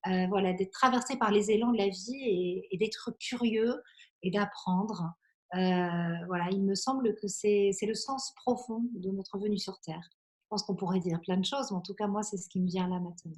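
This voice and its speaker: A woman in her forties from Belgium, an interview guest speaking reflectively and earnestly, often halting as she searches for words. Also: mid-range voice, darkish tone. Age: 40s.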